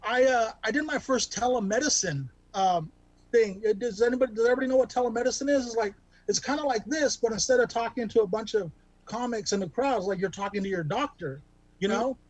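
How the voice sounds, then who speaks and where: A man with a high-pitched voice tells self-deprecating stand-up bits over a video call. He rambles, restarts, and fills gaps with ums. The sound is dry and close, with no room around it. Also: fairly quick, no room sound, low-quality recording. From Bakersfield, California. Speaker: stand-up comic.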